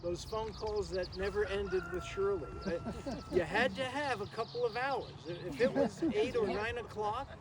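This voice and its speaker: Older male, high-pitched, rambling memorial reminiscence with many pauses, captured outdoors on a distant mic amid clear noise. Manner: halting, rambling delivery with frequent pauses. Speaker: speaker giving a memorial tribute. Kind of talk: informal reminiscing speech to a gathering. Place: outdoors in a garden. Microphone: distant camera microphone, no working PA. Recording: noisy outdoor recording, distant microphone.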